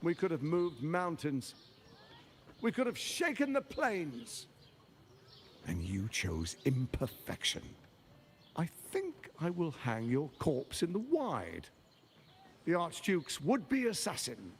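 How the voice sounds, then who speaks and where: An actor playing a menacing, pompous tyrant villain in a dramatic video game scene. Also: male voice, a little high, very animated, takes it slowly, long pauses, a little hiss or hum, heavily acted. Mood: menacing, bitter. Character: vengeful, grandiose tyrant villain, menacing and theatrical. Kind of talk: dramatic game cutscene acting.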